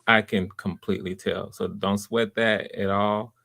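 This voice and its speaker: A man's voice: fairly low pitch, level tone, unhurried, gravelly, a bit bright. A man casually hosting a livestreamed audition, giving encouraging, conversational feedback. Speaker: host and casting director of live auditions. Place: live video call stream.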